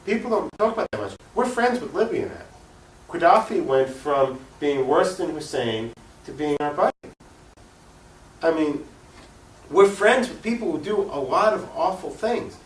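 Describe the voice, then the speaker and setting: A man's voice: on the high side, animated, normal speed, slight background noise. Man, activist interview guest, emphatic, opinionated, unscripted argument, echoey room, distant mic. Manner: opinionated, emphatic, poses rhetorical questions. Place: room recording for a cable access show.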